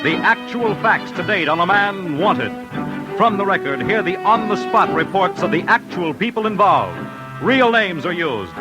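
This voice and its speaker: A man's voice: high voice, sing-song, moderate pace, rough recording. A man announcing an old radio program in an emphatic, solemn, dramatic scripted style. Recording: old radio broadcast over loud music. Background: loud dramatic music.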